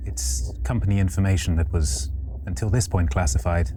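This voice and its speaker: A man with a very deep voice role-plays a company android in a tabletop game. He is measured and deliberate, and music plays clearly behind him.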